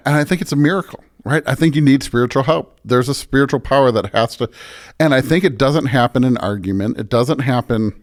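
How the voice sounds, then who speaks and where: Guy pastor on a podcast, mid-range voice, earnest, thinks out loud, keeps restarting sentences. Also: mid-range voice. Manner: earnest, halting restarts, tags points with "right?". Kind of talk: conversational reflection on faith and ethics.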